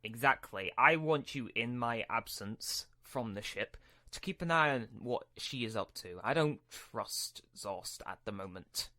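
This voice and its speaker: A man playing a scheming, imperious Sith lord in a Minecraft roleplay, speaking in halting, deliberate phrases. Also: mid-range voice, lively intonation, pushed and bright, no echo. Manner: halting, deliberate, with drawn-out pauses. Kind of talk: hobby Minecraft roleplay acting.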